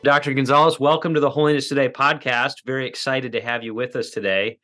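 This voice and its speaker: A man's voice: medium pitch, fairly even intonation, fast, pushed and bright, faint music behind. A male podcast host giving a scripted introduction and asking interview questions in a polite, welcoming way.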